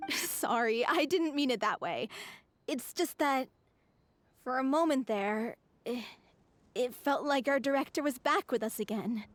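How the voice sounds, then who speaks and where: A woman voicing a gentle female troupe member speaking fondly of her late director. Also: sits high, lively intonation, a little slow. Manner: fond, gentle.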